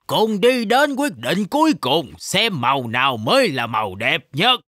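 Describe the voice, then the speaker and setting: A man's voice: a little high, big pitch swings, unhurried, pushed and bright, no echo, studio quality, exaggerated voice. An actor voicing a proud father shark in a Vietnamese children's cartoon dub. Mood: boastful, stubborn.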